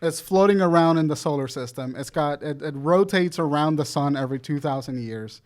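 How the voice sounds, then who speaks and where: Animated young man, slightly high, swearing freely. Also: fairly quick. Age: 20s. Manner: animated, profane, explains with emphasis. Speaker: podcast co-host. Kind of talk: casual chat.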